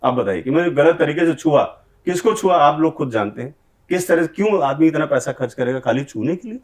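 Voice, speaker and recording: A man's voice: a little high, lively intonation, brisk. A middle-aged man answering interview questions, speaking earnestly and with grievance in long run-on accusations. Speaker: film director, interviewee.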